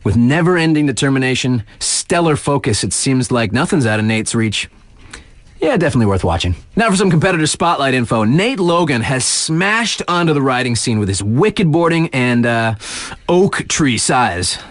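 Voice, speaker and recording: An actor playing a laid-back, hip radio DJ in a video game, covering snowboard competitions with breezy, slangy commentary and chatty, hesitant asides. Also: male voice, mid-range voice, sing-song, moderate pace, dry recording, lightly acted. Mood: musing, amused. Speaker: radio DJ announcer. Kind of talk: scripted radio sports commentary with casual ad-libbed asides.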